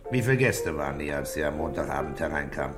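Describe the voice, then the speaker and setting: An actor playing a calm, middle-aged police commissioner in a German radio crime drama, asking terse, dryly insistent questions. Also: male voice, a bass voice, quick pace, loud music behind the voice. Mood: probing.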